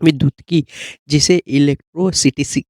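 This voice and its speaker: A man with a fairly high, slightly mellow-dark voice explains study material as an exam coach. He talks informally and keeps adding short check-in questions. The sound is very dry, with no room echo. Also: fairly even intonation, moderate pace. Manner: repeated check-in tags like "okay?". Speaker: exam-prep teacher.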